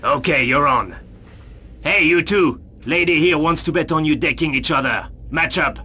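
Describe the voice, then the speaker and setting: An actor playing a surly, tough street bouncer in a video game, gruff, impatient and slangy. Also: male voice, on the high side, moderate pace, sharp tone. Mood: irritated, tough. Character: surly, tough male street-fight bouncer, easily irritated. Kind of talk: video game cut-scene acting.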